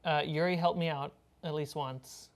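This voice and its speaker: A woman with a low voice talks as a game master. She is casual and conversational, hosting a discussion after a tabletop session. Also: fairly even intonation, moderate pace.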